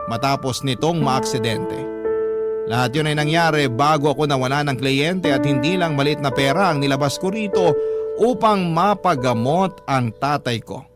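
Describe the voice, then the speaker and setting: A man narrating a listener's letter as a radio drama host, in a scripted dramatic style. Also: on the high side. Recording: loud music behind the voice. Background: loud musical backing.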